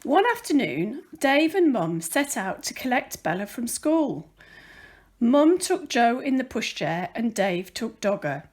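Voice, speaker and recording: High, animated woman reading a children's story. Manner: deliberate, careful storytelling with dramatic emphasis. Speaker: volunteer children's story reader. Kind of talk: expressive read-aloud of a children's picture book.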